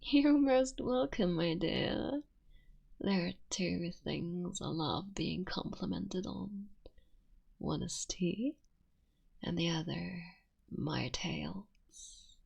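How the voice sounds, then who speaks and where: A woman voicing a tender, motherly female fox-spirit witch in an ASMR roleplay, speaking softly and often whispering. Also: lowish voice, expressive, drawn-out words, vocal fry, breathy edge, muffled recording, exaggerated voice. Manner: soft and intimate, often whispering.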